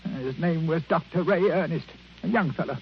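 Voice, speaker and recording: A man's voice: a little high, fairly quick, natural voice. An actor playing a miserly, self-pitying old man, whining and grumbling in 1940s radio drama style. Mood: plaintive grumbling. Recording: muffled, noisy old 1940s radio recording.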